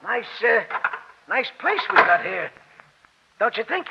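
Very high, gravelly gangster henchman. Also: very animated, slow, exaggerated voice. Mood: gloating. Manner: coarse, blurting, slangy. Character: excitable, dim red-headed henchman gangster. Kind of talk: 1940s radio acting. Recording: noisy, dull old-time radio recording.

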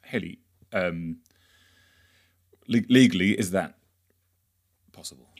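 A man with a fairly low voice hosts a conversational podcast interview. He feels his way through points haltingly, rewording them as he speaks. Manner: halting, rephrases questions as he goes. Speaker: podcast host.

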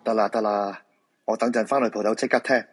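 A man's voice: fairly low pitch, fairly even intonation, fairly quick, breathy edge. An actor playing a middle-aged feng shui master and proud father in a live radio drama, with a Hong Kong Cantonese accent. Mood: casual, defensive.

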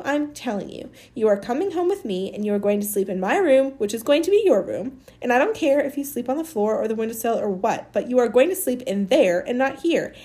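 Storybook woman acting a young girl: indignant, commanding, grumbly, high and very animated. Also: breathy edge, full character voice. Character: bossy, furious, scolding young girl.

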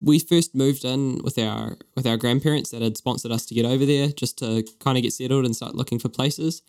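A man's voice: medium pitch, fairly even intonation, fairly quick, darkish tone, smooth. A young man from New Zealand with a New Zealand accent, co-hosting a podcast in a chatty, upbeat, joking way. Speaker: podcast co-host. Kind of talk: casual chat.

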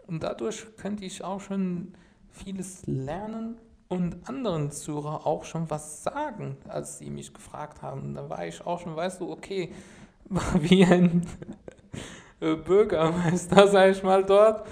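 A man's voice: high-pitched, measured pace, creaky, a little mellow. A man from Syria whose first language is Arabic, telling his story as a podcast guest in a rambling way, full of fillers and restarts. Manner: rambling, many fillers and restarts, "sag ich mal" habit. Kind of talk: casual conversational storytelling.

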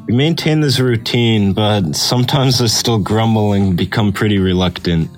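A man with a low voice reads a personal essay as scripted narration. Faint guitar music plays behind him. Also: normal speed. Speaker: essay reader.

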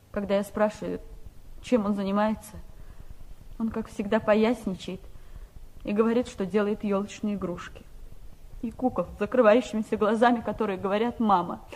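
An actress playing a sincere, downcast young student, speaking plaintively and earnestly in an old radio play. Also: female voice, medium pitch, darkish tone, close to an everyday voice, rough recording. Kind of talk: 1960s Soviet radio play dialogue.

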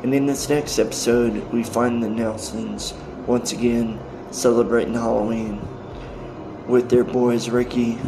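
A man hosting a podcast, introducing episodes in a halting, phrase-by-phrase delivery. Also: mid-range voice, level tone. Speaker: podcast host introducing old-time radio shows. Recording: home recording with clear background noise.

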